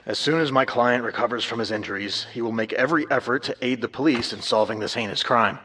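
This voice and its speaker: Actor as forceful older defence attorney. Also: male voice, medium pitch, fast talker, slight background noise, close to an everyday voice. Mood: firm, dismissive. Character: firm, formal 68-year-old male defence attorney. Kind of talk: dramatised press statement.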